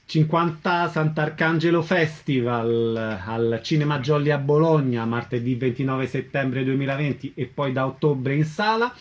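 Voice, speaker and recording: A man hosting a radio programme, presenting items and putting questions to guests. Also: a little high. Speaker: radio interviewer and host. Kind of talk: scripted radio presenting and interviewing.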